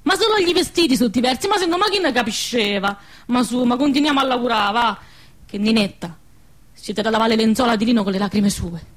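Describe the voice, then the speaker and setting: High-voiced rural central Italian peasant woman, acted by a woman. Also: fast, natural voice. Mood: exasperated. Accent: central Italian rural dialect. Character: plain-spoken peasant woman, weary peacemaker among workmates. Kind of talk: stage or radio drama in Italian dialect.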